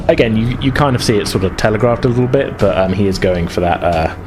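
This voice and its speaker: A man's voice: on the low side, normal speed, noisy background. A man acting as game master, narrating a tabletop game in an improvised, hesitant, conversational way.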